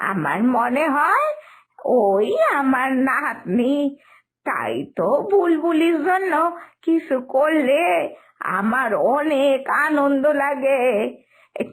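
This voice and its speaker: A woman voicing a kindly, wistful elderly village grandmother in an animated story, speaking in a gentle, pleading, drawn-out way. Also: sits high. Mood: tender, eager. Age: older. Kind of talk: animated moral story dialogue.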